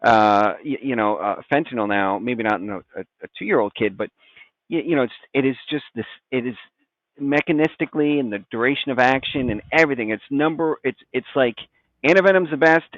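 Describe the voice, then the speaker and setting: Male podcast guest, American, informal stop-start expert talk, mid-pitched, slightly lo-fi. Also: brisk, fairly bright tone, no room sound. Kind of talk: casual expert discussion.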